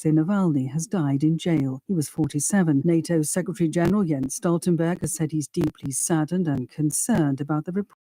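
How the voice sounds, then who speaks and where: A woman's voice: sits low, brisk, hardly any pauses, warm tone, no echo, rough recording. A woman reads a scripted international news roundup in a formal, sober manner. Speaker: news reader for a foreign news roundup.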